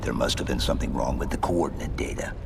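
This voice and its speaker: A man playing a stoic, unflappable supersoldier in a video game, gravelly, terse and deadpan. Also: a bass voice, fast, background noise, full character voice. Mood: calm, stoic. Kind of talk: video game cutscene voice acting. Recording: dramatic music behind the voice.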